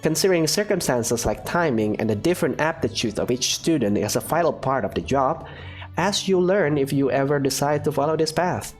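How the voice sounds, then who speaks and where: A man voicing a calm female academy professor who lectures in a measured, dryly teasing way. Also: fairly high pitch, soft background music, natural voice. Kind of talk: fan visual novel voice acting.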